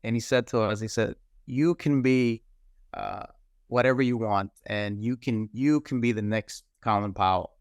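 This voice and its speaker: A man from the Dominican Republic speaks as an interview guest, his voice smooth and resonant, a little low in pitch. He reflects on his path in a measured, earnest way and pauses often to gather his thoughts. The sound is dry and close. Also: dry recording. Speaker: guest, cybersecurity executive. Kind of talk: reflective personal storytelling.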